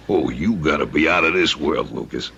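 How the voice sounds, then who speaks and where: An actor playing a wary, wisecracking young crook in old-time radio drama, with sardonic streetwise gangster talk and a hard-edged voice. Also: male voice, extremely low pitch, normal speed, fairly bright tone, a little hiss or hum. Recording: dull old radio recording.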